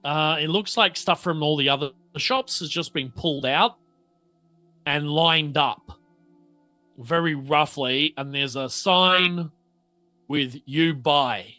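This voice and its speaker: A man's voice: a little high, takes it slowly, long pauses, sharp tone, faint music behind. A man speaking casually as game master, improvising narration in a hesitant, self-correcting way.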